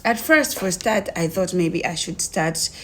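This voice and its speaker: A young woman with a Tanzanian accent tells her own story casually, in an animated way, acting out conversations as she goes. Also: fairly low pitch, a little slow, slight background noise. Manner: animated retelling, acts out quoted conversations.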